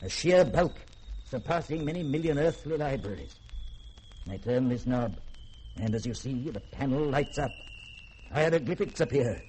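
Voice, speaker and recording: An actor playing a proud, learned middle-aged scientist, lecturing precisely and grandly in a 1950s radio drama. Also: male voice, medium pitch, lively intonation, normal speed, pauses often, darkish tone, lightly acted, rough recording. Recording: old radio broadcast, faint hiss.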